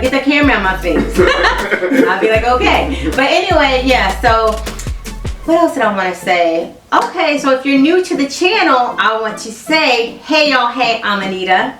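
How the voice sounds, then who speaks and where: A woman's voice: fairly high pitch, very animated, moderate pace, a bit bright, distant mic. Chatty woman vlogger in an echoey room. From Virginia, USA. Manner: chatty, laughs often, talks to viewers as y'all. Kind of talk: casual couples Q&A chat. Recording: echoey room, off-mic, music behind.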